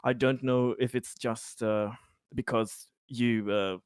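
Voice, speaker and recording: A man playing an affable, courteous corporate director of a colony in a tabletop game, chatting in a halting, polite way. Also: medium pitch, steady tone, smooth, no room sound, clean studio sound, lightly acted. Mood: courteous, chatty.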